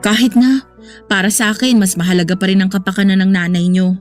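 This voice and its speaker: A woman's voice: medium pitch, fast talker, soft background music, close to an everyday voice. An actress playing a caring, sensible young woman in a radio drama, speaking earnestly and reassuringly. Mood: disapproving. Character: caring, sensible young woman consoling her troubled boyfriend.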